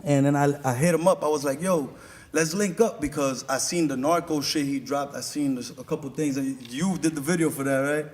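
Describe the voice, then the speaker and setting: Casual rapper guest, male, slightly high voice, loose slangy storytelling, faint hiss under the recording. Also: fairly quick, a little mellow. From United States. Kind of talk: casual podcast chat.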